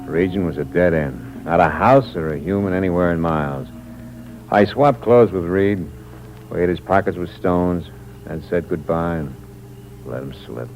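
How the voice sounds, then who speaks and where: A man's voice: deep voice, normal speed, highs cut off. An actor playing a hard-boiled drifter who tells his own story in terse, wry narration. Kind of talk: 1950s radio drama acting. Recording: noisy old radio recording.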